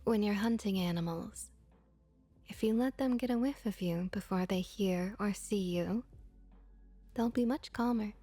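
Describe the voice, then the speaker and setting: A woman plays a kindly female vampire who tends bar at an inn, in an intimate ASMR roleplay style. Her voice is very breathy and soft, often slipping toward a whisper. She takes her time, sounding comforting and reassuring at a middle pitch. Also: no echo, faint music behind. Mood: gently instructive. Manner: unhurried, gentle, reassuring. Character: friendly, comforting female vampire innkeeper and bartender.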